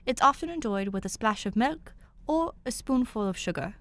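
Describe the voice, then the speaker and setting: A woman voicing a courteous, gently proud elderly English butler in an audiobook story, speaking formally and with measured care. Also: fairly high pitch, animated. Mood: courteous, instructive.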